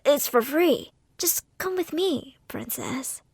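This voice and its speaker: Woman voicing creepy man, coaxing, low, slow, hushed, storytime. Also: lively intonation, gravelly, dry recording, heavily acted. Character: creepy bearded man luring a child.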